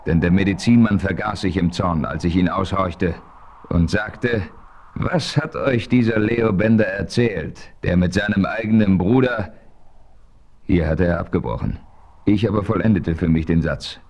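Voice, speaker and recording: An actor playing a seasoned frontier hero who narrates in a calm, measured, authoritative way in an old radio play. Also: male voice, sits low, slight background noise.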